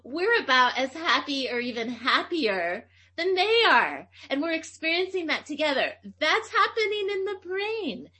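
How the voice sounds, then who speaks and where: A woman from Tennessee, who grew up speaking Greek, giving a slow, emphatic lecture on public speaking. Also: high-pitched, pushed and bright. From Tennessee, USA. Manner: slow, deliberate, emphatic phrasing.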